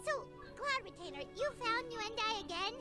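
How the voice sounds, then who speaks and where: Extremely squeaky, excitable fairy girl. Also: normal speed, loud music behind the voice. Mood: curious, confused. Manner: squeaky, exclamatory, speaks in third person. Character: tiny childlike fairy girl companion, excitable, easily confused. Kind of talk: cartoonish game voice acting.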